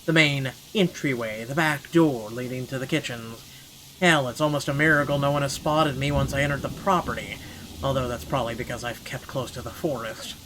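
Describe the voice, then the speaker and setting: A man with a medium-pitched voice narrates a visual novel in an expressive, dramatic first-person style. He drops in blunt, sweary asides as a gaming host. Clear background noise and ambience sit behind his voice. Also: a little fast. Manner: expressive, sweary commentary between read passages.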